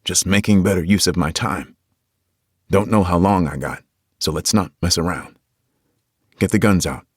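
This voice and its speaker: A man voicing a wounded, resolute tough man who talks gruffly and bluntly. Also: low voice, moderate pace, long pauses, no echo, studio quality. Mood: determined.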